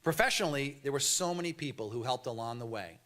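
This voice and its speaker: A man in his fifties with a mild Boston accent, a TV news anchor giving a prepared award acceptance speech at a podium, earnest and measured with light storytelling humor. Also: medium pitch, lively intonation, a bit bright. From Boston area, USA. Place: banquet hall podium. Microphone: podium microphone.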